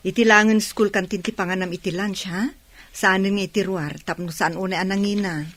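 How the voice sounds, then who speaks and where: A woman's voice: mid-range voice, steady tone, brisk, a bit bright, close to an everyday voice. A woman playing a caring, watchful mother and aunt in an audio drama. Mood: caring, instructing.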